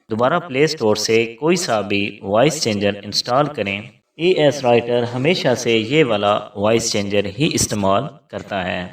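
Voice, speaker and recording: A man's voice: medium pitch, far from the mic. A man narrating a scripted tutorial as host, giving formal step-by-step instructions.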